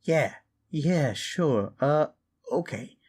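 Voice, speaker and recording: A man's voice: fairly high pitch, expressive, drawn-out words, darkish tone, husky, pitched above the performer's own voice, exaggerated voice. A man voicing a paranoid old male goblin shaman in improvised fantasy audio, hesitant, stammering and sardonic. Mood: nervous, hesitant.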